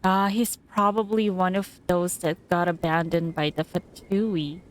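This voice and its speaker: A woman's voice: lowish voice, fairly even intonation, a little slow, poor audio. A woman streaming a game with casual, improvised commentary, musing aloud hesitantly and repeating words as she thinks. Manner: hesitant, musing, trailing repetitions.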